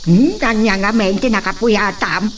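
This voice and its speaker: A woman's voice: medium pitch, a little slow, close to an everyday voice. A woman playing a nosy, timid housemaid in a radio drama, hesitant and deferential to her masters. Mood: curious, flustered. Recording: faint background noise.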